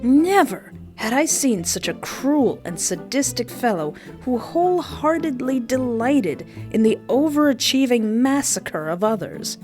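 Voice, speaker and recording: A woman's voice: on the high side, sing-song, a little slow, hardly any pauses, a little mellow. Dramatic woman narrating a countdown over music. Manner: dramatic, emphatic storytelling. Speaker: host of a countdown video.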